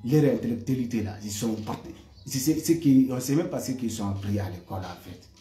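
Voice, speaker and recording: A man's voice: medium pitch, fairly quick, warm tone, echoey room, faint music behind. A man delivering an impassioned monologue to his viewers, heated and repetitive, often stammering on repeated words. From West Africa. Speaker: online commentator.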